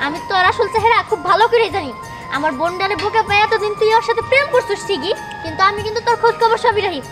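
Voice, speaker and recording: Casting: woman as suspicious, bossy female shopkeeper for comedy cartoon, piercingly high voice, scolding delivery, loud music behind. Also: rapid-fire. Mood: accusing. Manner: scolding, confrontational. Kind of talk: Bengali comedy cartoon dubbing.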